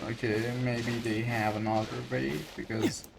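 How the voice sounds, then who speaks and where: A man with a medium-pitched voice gives casual gameplay commentary as a streamer. He talks slowly, with faint noise in the background. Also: little pitch movement, vocal fry. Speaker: gaming streamer.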